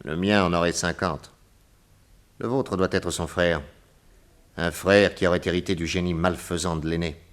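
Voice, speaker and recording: An actor playing a calm, shrewd middle-aged detective in a radio drama, speaking in a measured, grave and deliberate way. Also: male voice, low-pitched, close to an everyday voice. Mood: explaining. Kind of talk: 1940s radio acting.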